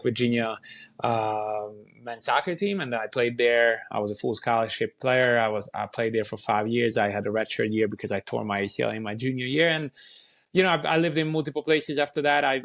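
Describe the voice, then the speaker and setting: A man in his early thirties from Hungary with a Hungarian accent, a native Hungarian speaker telling his story as an interview guest, halting with frequent restarts and ums. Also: medium pitch, creaky. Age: 30s. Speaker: youth soccer academy director. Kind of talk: casual interview chat.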